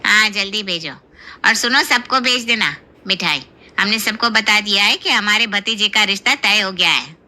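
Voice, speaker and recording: A woman's voice: mid-range voice, moderate pace, bright, forceful tone. A woman playing a bossy, gossipy aunt in a cartoon story, brusque and insistent. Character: bossy, gossipy older female relative, brisk aunt. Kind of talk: Hindi cartoon story voice acting.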